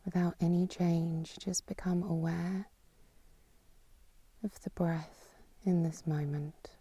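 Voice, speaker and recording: Female meditation teacher, lowish soft breathy voice, calm and unhurried, close dry sound. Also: dry recording. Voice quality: soft, breathy, half-whispered. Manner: calm, soothing, unhurried with long pauses. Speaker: yoga nidra teacher. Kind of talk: guided meditation, slow spoken instructions.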